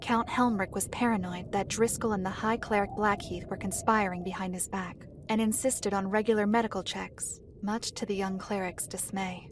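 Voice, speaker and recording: Woman as a composed, knowing dark-fantasy storyteller. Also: mid-range voice, steady tone, noisy background, natural voice. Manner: measured, formal, authoritative. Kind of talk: scripted storytelling in audio drama.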